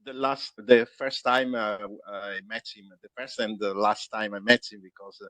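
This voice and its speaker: A man from Calabria with an Italian accent, a native Italian speaker, telling anecdotes as a tour guide in an interview and often pausing to search for words. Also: on the low side, steady tone, a bit bright, no room sound. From Calabria, Italy. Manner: halting, searching for words, restarting sentences.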